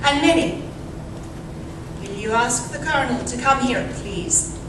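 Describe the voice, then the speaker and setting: A genteel, imperious middle-aged wife under great strain, acted by a woman. Her high voice has old-time radio melodrama in it, caught in an echoey, noisy live room. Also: unhurried, recorded from a distance, lightly acted. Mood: strained, curt. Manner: tense, imperious, theatrical. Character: agitated middle-aged colonel's wife, genteel, highly strung. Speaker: actor. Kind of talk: melodramatic 1930s radio acting.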